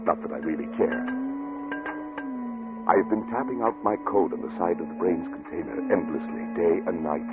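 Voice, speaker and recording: A cold, obsessed scientist in a 1940s radio thriller. He gives orders in an insistent, widely swinging voice. The old recording is muffled, with music around the voice. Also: a bass voice. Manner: commanding, clipped, insistent. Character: obsessed, ruthless male scientist, cold and domineering.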